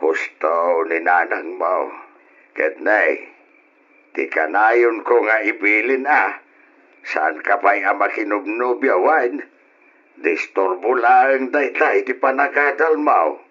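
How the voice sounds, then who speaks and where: Older father, measured, admonishing, husky, dull old radio sound. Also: lowish voice, pushed and bright, heavily acted. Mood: gently stern. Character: older father, kindly but strict, advising his daughter. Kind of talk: old radio drama acting.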